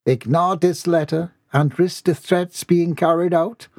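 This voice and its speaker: A man's voice: on the high side, fairly even intonation, a little slow, a little mellow, dry recording, clean studio sound, voice pushed up. A man voicing an anxious but authoritative woman housekeeper in a story. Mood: resolute. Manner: commanding, grave.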